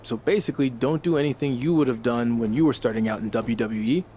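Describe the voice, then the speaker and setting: A man's voice: mid-range voice, fast talker, mellow, close to an everyday voice. A confident young male wrestler in a video game voiced by a man, blunt and self-focused. His mid-range voice is matter-of-fact, with faint noise behind it. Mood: wry. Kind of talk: video game cutscene dialogue.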